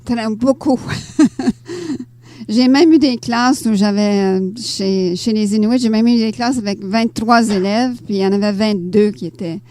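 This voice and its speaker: Mellow older Canadian French woman. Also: mid-range voice, unhurried, dry recording. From Ontario, Canada. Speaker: interview guest, author and teacher. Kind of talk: conversational interview answers. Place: radio studio.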